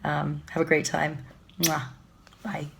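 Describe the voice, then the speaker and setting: A woman in her late forties with a cold, leaving a halting, soft-spoken video message for a friend. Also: sits low, completely flat delivery, creaky, slight background noise. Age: late 40s. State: has a cold, scratchy voice.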